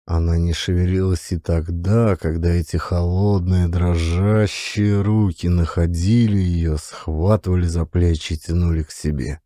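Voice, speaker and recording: A man reading classic literature as an audiobook, with measured, expressive, dramatic narration. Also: deep voice, no room sound, clean studio sound.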